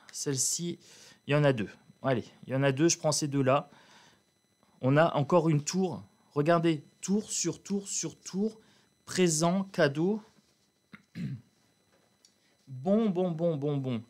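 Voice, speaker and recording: A man giving a casual, outspoken card reading to his viewers, drawling with many fillers and restarts. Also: fairly high pitch. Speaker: card reader. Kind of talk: casual tarot reading to camera.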